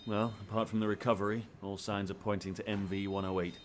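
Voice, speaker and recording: Low-voiced doctor played by a man. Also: flat delivery, fast, slight background noise, lightly acted. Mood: urgent. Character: weary, dry-witted male hospital doctor. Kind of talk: naturalistic audio drama acting.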